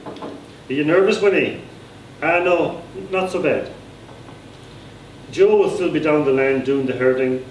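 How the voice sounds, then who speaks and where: A man's voice: medium pitch, a little mellow. Irish man, literary reading, informal asides, roomy echo, distant microphone. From Ireland. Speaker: author reading own book. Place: live reading at a society gathering. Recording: echoey room, distant mic, background noise.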